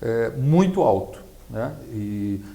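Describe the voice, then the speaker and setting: Gravelly middle-aged man explaining. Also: mid-range voice, animated, mellow. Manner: halting, didactic, frequent tag questions. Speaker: interview guest, accountant and nonprofit consultant. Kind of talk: explanatory interview talk.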